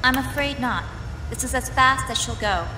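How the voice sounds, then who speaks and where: An actress playing a composed female military officer, giving a measured, authoritative briefing in a game cutscene. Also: high-pitched, noisy background, natural voice. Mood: businesslike. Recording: long hall reverb. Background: loud dramatic music.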